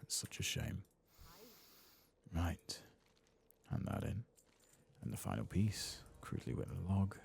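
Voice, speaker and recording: A man softly commenting on his gameplay in half-whispered, mumbling asides, his voice lower than usual after singing, close to the microphone. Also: sing-song, measured pace, pauses often. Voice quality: very deep, gravelly and husky. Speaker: ASMR gaming channel host. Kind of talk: soft-spoken gameplay commentary.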